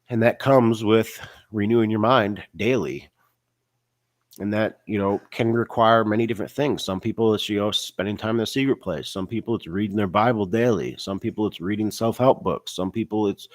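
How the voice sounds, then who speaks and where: A man's voice: on the low side, no echo, studio quality. A man with a cold, sounding stuffy and nasal, giving a casual faith-based motivational talk full of "you know" and "right?" fillers. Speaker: online business vlogger.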